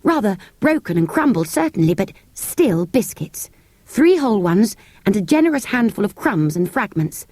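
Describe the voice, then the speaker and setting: A woman with a British accent narrates a children's story in an expressive, measured storytelling manner. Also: mid-range voice, dry recording. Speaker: audiobook narrator. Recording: close, dry cassette tape recording.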